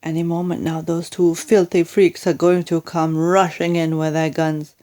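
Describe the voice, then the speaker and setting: A woman voicing a bossy, excitable father monkey in a children's storybook, snappy and urgent as he gives orders. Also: on the low side, moderate pace, close to an everyday voice. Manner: shouting orders, exclamatory.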